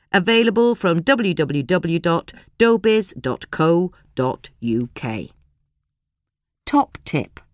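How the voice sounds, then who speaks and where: A woman's voice: deep voice, no room sound, studio quality. A woman reading a scripted article aloud as podcast narration. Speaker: reader of a gardening magazine article.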